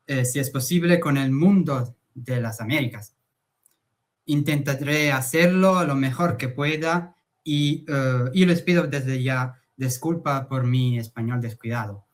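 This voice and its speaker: Slow, hesitant male academic speaker. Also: medium pitch. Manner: slow, hesitant, frequent "eh" fillers. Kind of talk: conference talk.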